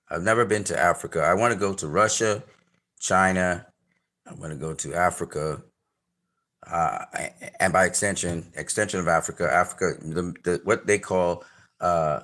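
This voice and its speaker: This is a low-pitched man with a Trinidadian accent. He talks casually and at length, trailing into fillers and circling back on himself as he reacts to a trailer for his channel. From Trinidad and Tobago. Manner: rambling, many fillers and restarts, gives shout-outs. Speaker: channel host reviewing a trailer.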